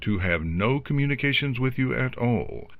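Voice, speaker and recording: An actor playing a smug unicorn lawyer, formal, measured and condescending, in a fan fiction audio drama. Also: male voice, medium pitch, lively intonation, vocal fry, dry recording, narrow, tinny audio. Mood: coolly accusing. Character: smooth-talking smug male unicorn corporate lawyer.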